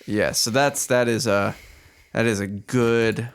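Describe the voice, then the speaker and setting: A man co-hosting a podcast, chatting casually about video games in slangy, halting phrases with repeated words. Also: medium pitch, fairly even intonation, creaky, clean tone. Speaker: podcast co-host.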